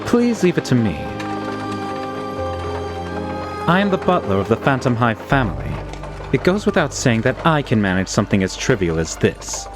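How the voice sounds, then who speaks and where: A man's voice: mid-range voice, sing-song, fairly quick, natural voice. A man playing a formal, composed and devoted butler in an anime-style manga dub. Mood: composed, assured. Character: suave, unflappable male demon butler, formal and devoted. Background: music.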